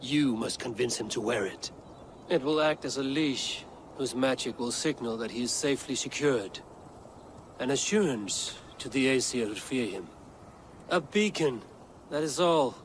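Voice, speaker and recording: An actor playing a weary, calculating Norse god-warrior in a video game, speaking in a solemn, measured, formal way. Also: male voice, medium pitch, slight background noise, close to an everyday voice. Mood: cold, calculating. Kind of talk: cinematic video game acting.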